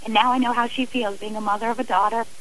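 A woman's voice: fairly high pitch, fairly even intonation, fast, a bit bright, slight background noise, low-quality recording. A middle-aged woman speaking as an interview guest, earnest and emphatic in a conversational way. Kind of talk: personal account in a radio interview.

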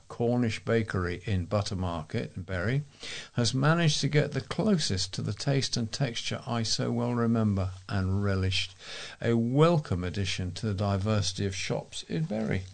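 A man's voice: medium pitch, animated, moderate pace, gravelly. A British man reading local news and letters aloud as a volunteer newsreader.

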